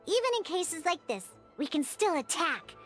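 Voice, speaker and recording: A woman voicing a peppy young cartoon mascot in a video game tutorial, chirpy and childlike, eagerly explaining with expressive exclamations. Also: very high-pitched, normal speed, faint music behind, heavily acted. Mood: explaining, encouraging.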